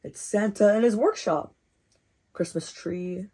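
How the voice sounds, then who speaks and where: A woman reading a picture book aloud as storytime host, expressive and encouraging, with rhetorical questions and asides. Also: mid-range voice, measured pace.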